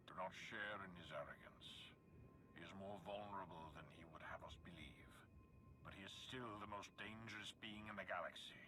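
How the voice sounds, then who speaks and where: A man playing a grave, wise warrior ally in a video game, speaking in solemn, measured, urging phrases. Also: sits low, background noise, lightly acted. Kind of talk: video game dramatic acting.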